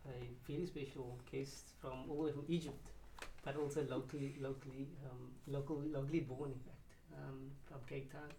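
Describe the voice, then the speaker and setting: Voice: mid-range voice, flat delivery, normal speed, few pauses, mellow, slight background noise. A podcast host opening the show and asking questions in a hesitant way, often restarting mid-sentence. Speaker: interview host. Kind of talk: casual interview hosting. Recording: roomy, distant mic.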